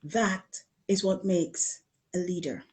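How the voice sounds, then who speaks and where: Mellow middle-aged woman, coaching talk. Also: lowish voice, steady tone, slow pace. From Guyana, later living in the UK. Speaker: leadership coach presenting a challenge. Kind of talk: live online coaching talk from slides.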